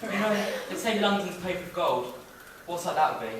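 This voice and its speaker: A man's voice: sits high, lively intonation, moderate pace. A man playing a friendly, naive, penniless young traveller in a theatrical stage pantomime. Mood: wistful. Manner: theatrical, addresses the audience. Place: stage in a school hall. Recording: echoey school hall, distant mic, noisy.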